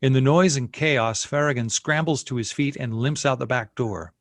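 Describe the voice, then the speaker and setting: A man's voice: medium pitch, moderate pace, no room sound, studio quality. A man reading scene headings and stage directions as narrator at a screenplay table read.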